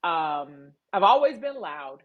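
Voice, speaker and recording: A woman's voice: lowish voice, very animated, slow, phone-quality sound. A middle-aged woman speaking as a guest in an interview, telling her story emphatically and with animation. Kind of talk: candid interview conversation.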